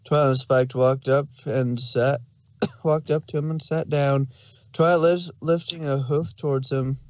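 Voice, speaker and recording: A man's voice: on the high side, steady tone, unhurried. A man narrating a story aloud as a scripted fan fiction reading.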